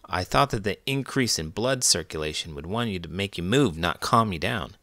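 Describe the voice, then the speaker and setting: A man narrating a children's story as read-aloud host, expressive and deliberate. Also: low-pitched, dry recording.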